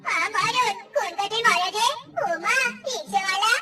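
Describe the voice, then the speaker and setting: An actor voicing an old cartoon wife who grumbles about her husband and turns coquettish at flattery. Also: very high-pitched, normal speed, far from the mic. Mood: coquettish, flattered. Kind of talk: cartoon voice acting.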